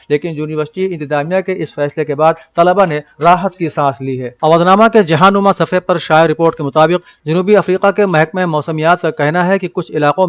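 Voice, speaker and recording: A man reading a scripted news bulletin in a formal, measured manner. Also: on the high side, no room sound.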